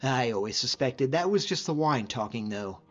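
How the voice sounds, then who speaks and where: A man's voice: medium pitch, lively intonation, normal speed, faint music behind, close to an everyday voice. Man acting plain-spoken hunter, slightly muffled game audio. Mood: fond, reminiscing. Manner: plain-spoken, informal, reflective. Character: hardy male hunter and frost mage, easygoing, sincere, reflective. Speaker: companion character in a fantasy game mod. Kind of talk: conversational scripted game character dialogue.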